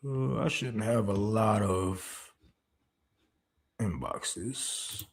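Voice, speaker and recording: A man's voice: on the low side, drawn-out words, vocal fry. A man with a Detroit accent hosting a livestream, ranting with heavy profanity, then reading chat and thanking donors. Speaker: livestream host. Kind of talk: casual livestream commentary.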